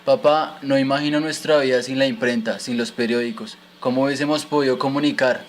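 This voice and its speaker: A man's voice: mid-range voice, fairly even intonation, a little fast, a little hiss or hum, natural voice. A man playing a curious, respectful son who puts scripted questions to his father in an educational radio drama.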